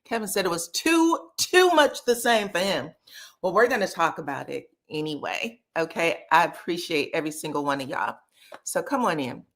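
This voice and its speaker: A woman's voice: lowish voice, expressive, moderate pace, a bit bright. A woman, chatting casually as she hosts a livestream recap and greets viewers by name. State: slightly scratchy voice. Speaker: reality TV recap livestream host.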